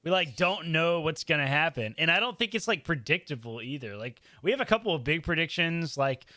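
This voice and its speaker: A man's voice: a little high, expressive, fairly quick, bright, forceful tone, no echo. A man talking casually and informally as a panelist in a podcast discussion.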